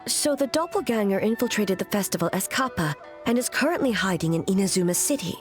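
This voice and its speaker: An actress voicing a composed, authoritative, calmly discerning woman in a video game. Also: medium pitch, quick pace, soft background music, natural voice. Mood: pensive. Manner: calm, commanding.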